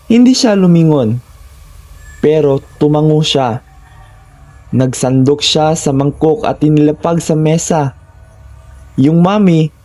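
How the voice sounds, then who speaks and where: Male narrator for scary first-person tales: slightly high voice, mellow tone, measured phrasing. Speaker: horror story narrator.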